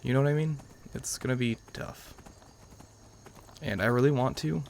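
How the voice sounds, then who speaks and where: Gravelly young American man gaming. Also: mid-range voice, moderate pace, a little mellow, slight background noise. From New York, United States. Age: young adult. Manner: rambling, self-qualifying. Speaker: gaming channel host. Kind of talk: casual let's-play commentary.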